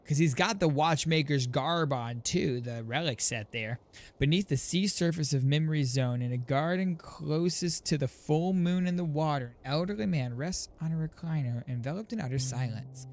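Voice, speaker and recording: A male game streamer reading story narration aloud like a measured storyteller, with casual, playful asides. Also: on the high side. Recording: faint game music underneath.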